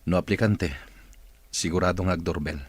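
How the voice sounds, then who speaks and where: An actor playing an irritable, suspicious boss in a radio drama, with a stern and curt delivery. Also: male voice, sits low, fairly even intonation, moderate pace, background noise, close to an everyday voice. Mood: impatient.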